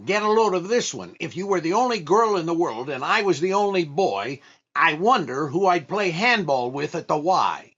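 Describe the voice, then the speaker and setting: A man playing a droll, hesitant comedian and disc jockey sidekick in 1940s radio comedy, with a deliberate deadpan delivery and slightly muffled sound. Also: a little high, slightly rough, full character voice. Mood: droll, selling.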